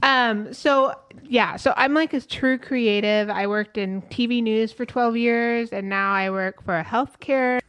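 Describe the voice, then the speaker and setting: A woman's voice: on the high side, a little slow, few pauses, fairly bright tone, smooth. A woman in her late thirties telling stories in casual chat, acting out what other people said. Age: late 30s. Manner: chatty storyteller acting out quoted dialogue. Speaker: guest.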